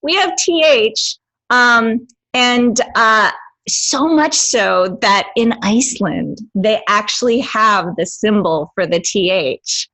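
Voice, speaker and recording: A woman's voice: a little high, expressive, slow pace, sharp tone, low-quality recording. A woman hosting a dialect webinar, enthusiastic and bubbly as she explains sounds. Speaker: dialect coach and webinar host. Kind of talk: teaching webinar.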